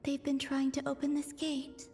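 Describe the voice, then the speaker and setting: A woman voicing a hushed, solemn female observer in a video game, breathy and whispery. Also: sits high, completely flat delivery, moderate pace, faint music behind. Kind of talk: video game character acting.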